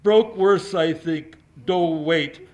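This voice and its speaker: A man's voice: fairly high pitch, takes it slowly, darkish tone, dull audio. An actor playing a chatty small-town male newspaper reporter, rambling, eager and cheerfully oblivious. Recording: noticeable background hiss.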